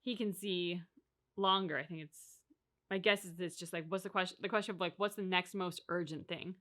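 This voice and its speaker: A woman's voice: lowish voice, fairly even intonation, moderate pace, fairly bright tone. A woman co-hosting a reaction channel, giving her opinions in casual, analytical conversation.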